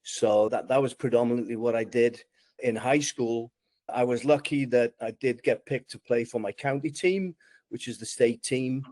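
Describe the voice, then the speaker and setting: Welsh man in his sixties, measured. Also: medium pitch, a little mellow, dry recording. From Wales. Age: 60s. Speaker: podcast guest. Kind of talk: relaxed interview storytelling.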